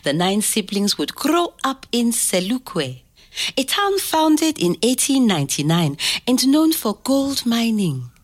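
A grandmother in an audio drama, fond and proud as she tells her story. Her delivery is unhurried and measured, with lively, swooping intonation that draws a young listener in. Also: fairly high pitch, full character voice. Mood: fond storytelling.

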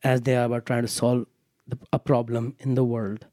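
A man, a teacher chatting frankly and with animated emphasis as a podcast guest. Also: mid-range voice, a little fast, dry recording, clean studio sound. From Pakistan. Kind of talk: casual podcast conversation. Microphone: headphones on, studio mic.

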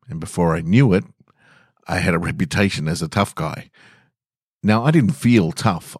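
An Australian man speaks in a notably deep voice. He hosts a story podcast, moving between casual talk and reading aloud. The sound is close and dry, with little room in it. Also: darkish tone, no room sound. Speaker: podcast host reading short stories.

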